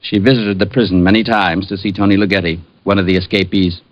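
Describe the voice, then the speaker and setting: Stiff pedantic police sergeant, low voice, played by a man. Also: steady tone, fast, natural voice. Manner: stiff, precise, literal. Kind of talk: old-time radio drama acting. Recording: dull, dry vintage radio recording.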